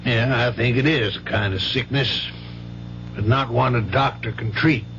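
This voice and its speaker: A folksy, fatherly country doctor in a vintage radio play. He is firm, kindly and plain-spoken, his mid-range voice heard through a muffled broadcast with clear hum and hiss. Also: medium pitch, steady tone, a little slow. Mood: grave. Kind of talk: 1970s radio drama acting.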